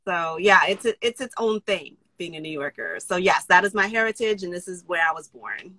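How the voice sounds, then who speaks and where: A middle-aged woman from New tells stories with a comedian's timing, tossing in quick asides. Her voice is bright and forward. The sound is dry and close, on a phone. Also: lowish voice, animated, no echo, poor audio. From New York City. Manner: jokey storytelling, quick asides. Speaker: guest comedian. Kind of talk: casual interview chat.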